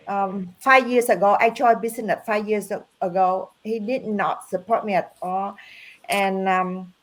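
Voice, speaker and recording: A woman whose first language is Vietnamese speaks on a live video call in slow, earnest English, stopping often to search for words. Her voice sits a little high and unhurried. She shares her journey as a business coach. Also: poor audio. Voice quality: halting simple English with dropped words. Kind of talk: live online testimony.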